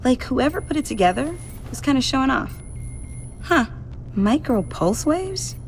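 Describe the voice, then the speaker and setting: A cocky young female tech whiz in a video game. Her high voice is very lively in pitch, teasing and self-assured, over faint background noise. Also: unhurried, exaggerated voice, low-quality recording. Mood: curious, confident. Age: young adult.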